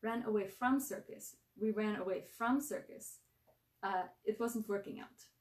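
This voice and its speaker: A woman's voice: medium pitch, unhurried, lightly acted. Woman playing a touchy Russian squirrel monkey boy. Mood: flustered. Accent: thick Russian. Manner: blunt, clipped, broken grammar. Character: touchy, wary squirrel monkey boy, runaway circus performer. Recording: roomy echo, far from mic.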